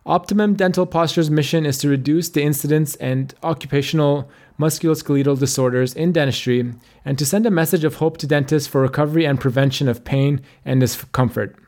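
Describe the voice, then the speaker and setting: A man's voice: fairly high pitch, a little fast, hardly any pauses, a little mellow. A young man hosting a dental podcast, reading a friendly intro then chatting casually with frequent fillers. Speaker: podcast host and dentist. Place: Australia.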